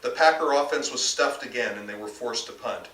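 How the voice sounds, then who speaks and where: A man's voice: mid-range voice, a little fast, a bit bright. An American man, an author reading his novel aloud as live narration, recorded indoors at a distance. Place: bookstore reading event, indoors. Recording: roomy, distant live recording, faint noise.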